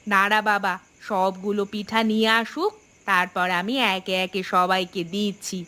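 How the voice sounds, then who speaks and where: A woman voicing a gentle, modest older village mother-in-law in a family cartoon. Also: mid-range voice, fairly even intonation, unhurried. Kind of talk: Bengali cartoon family story dubbing. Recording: music loud behind the voice.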